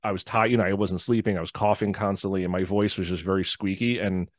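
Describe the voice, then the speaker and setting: A man's voice: sits low, steady tone, quick pace, dry recording, studio quality. A man in his forties with a nasal voice, chatting as a podcast guest over a video call. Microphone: headset and podcast microphone on video call.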